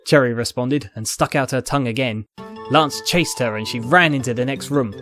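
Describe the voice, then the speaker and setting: A male narrator reads a story in a dramatic, scripted storytelling style. His voice sits at an average pitch, with soft music playing underneath. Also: normal speed. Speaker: narrator, fan fiction reader.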